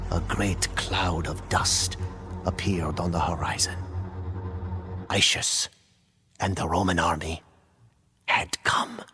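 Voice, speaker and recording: Low, solemn chronicler over music. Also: male voice. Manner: grave, measured, deliberate pauses. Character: solemn chronicler retelling a tale of conquest. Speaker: narrator of a game campaign cutscene. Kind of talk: dramatic scripted storytelling narration. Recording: music behind the voice.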